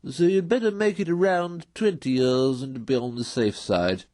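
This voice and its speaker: A man's voice: medium pitch, darkish tone, no room sound, clean studio sound, lightly acted. Man doing a fussy, methodical storybook court clerk. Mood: fussy, matter-of-fact. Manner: fussy, methodical, deliberate listing. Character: officious male court clerk, methodical and drily severe. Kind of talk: storybook character voice in a read-aloud.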